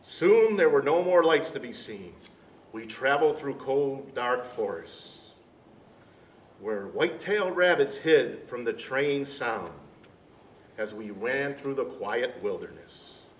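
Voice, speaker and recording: A man reading a storybook to children in deliberate, separated phrases. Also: mid-range voice, lively intonation. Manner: deliberate phrasing with many pauses. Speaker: storybook reader. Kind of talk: scripted storybook narration for children. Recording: echoey room, distant mic, background noise.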